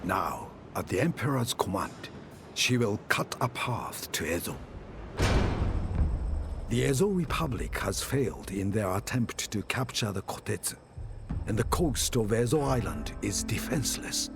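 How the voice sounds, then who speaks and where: A man acting as the solemn narrator of a historical strategy game, telling a war chronicle in a measured, grave, dramatic way. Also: lowish voice, very animated, vocal fry, heavily acted. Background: prominent music.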